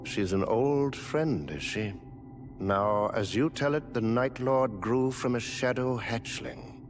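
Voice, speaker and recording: An actor playing a courteous, theatrical gentleman adventurer in a dark fantasy video game, speaking in formal, flowery, archaic phrases. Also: mid-range voice, slow pace, over music, close to an everyday voice. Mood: doubtful, pondering. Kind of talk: video game character dialogue.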